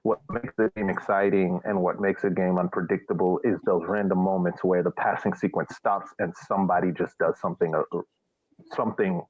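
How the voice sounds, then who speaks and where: A man giving casual sports analysis as a podcast panelist, halting and reflective. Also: low voice, fairly even intonation, husky, no echo. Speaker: podcast panelist, former coach. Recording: thin phone-like audio, dry.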